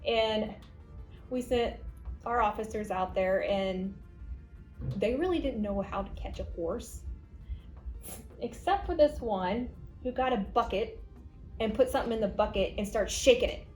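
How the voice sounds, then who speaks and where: A woman's voice: medium pitch, a little slow, long pauses, a little mellow, rough recording. An American woman, a 911 dispatcher, telling stories casually among colleagues. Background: background music.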